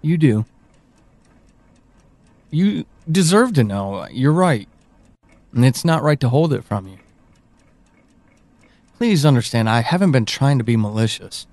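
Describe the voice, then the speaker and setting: A man playing an evasive, uneasy friend in an audio drama, speaking in a halting, confessional way. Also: mid-range voice, very animated, a little mellow, no room sound. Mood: reluctant. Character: evasive male friend, uneasy, withholding a troubling memory.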